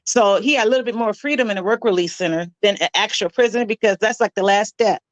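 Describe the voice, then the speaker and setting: A woman in her forties telling her story in an interview, matter-of-fact and a little halting. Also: mid-range voice, no echo. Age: 40s. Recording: dull, dry lo-fi clip audio.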